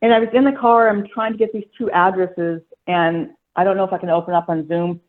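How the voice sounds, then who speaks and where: A woman's voice: fairly low pitch, steady tone, brisk. A woman from Florida calls in from her car over a tinny phone line. She is polite and a little hesitant as she asks for help. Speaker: caller.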